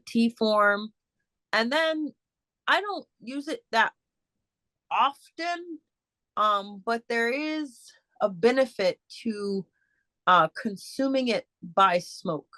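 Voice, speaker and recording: A woman speaker answering audience questions in a casual, halting way, with many fillers and restarts over a video call. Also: medium pitch, lively intonation, bright, forceful tone, no echo. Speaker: guest speaker.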